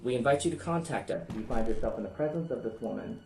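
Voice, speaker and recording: An actor playing a male television news anchor, reading a crime bulletin in a formal, measured newsreader manner. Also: mid-range voice, lively intonation, gravelly, noisy background. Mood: formal, serious. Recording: roomy echo with music behind.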